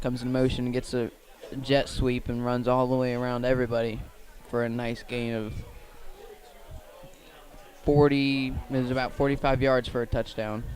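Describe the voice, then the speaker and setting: A man with an American accent calls a football game live for radio. His pitch stays fairly flat as he follows the action. He is a little halting, repeating and correcting himself as he goes. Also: slight background noise. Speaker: play-by-play commentator. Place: outdoors at a football field.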